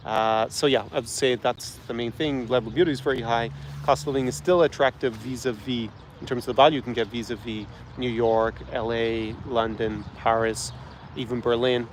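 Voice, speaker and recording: A man's voice: mid-range voice, fairly even intonation, normal speed, a little hiss or hum. Irish man talking outdoors, frank and rambling. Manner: rambling, frank, with frequent ums and restarts. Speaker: travel and lifestyle guide host. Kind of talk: casual talk to camera. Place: outdoors in a city street.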